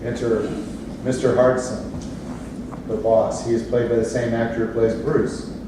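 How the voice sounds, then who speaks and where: A distant, noisy recording in a very echoey space. A man with a mid-pitched voice narrates the stage directions of a play reading. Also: little pitch movement, a little slow.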